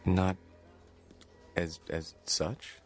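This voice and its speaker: An actor playing a timid, sheltered, lonely man in his thirties in a radio drama, with a creaky voice and a hesitant, meek, halting delivery. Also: sits low, flat delivery, soft background music, full character voice. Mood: meek, uneasy. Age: 30s.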